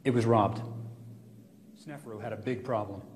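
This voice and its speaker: A man's voice: fairly low pitch, normal speed, a little hiss or hum. A man giving an unscripted explanation on location as a documentary expert.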